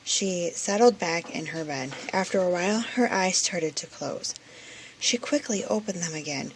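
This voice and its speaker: A woman's voice: fairly low pitch, unhurried, slight background noise, rough recording. A woman reading a children's storybook aloud expressively, as the narrator. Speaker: story reader.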